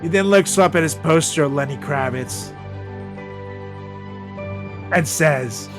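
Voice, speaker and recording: A man podcast host narrating a comedic story with dramatic pauses, over background music. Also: on the high side. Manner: dramatic pauses, drawn-out delivery.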